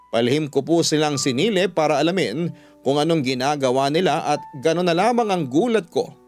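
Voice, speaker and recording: Filipino guy narrating a radio drama story, slightly high voice, music under it. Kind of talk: scripted first-person story narration.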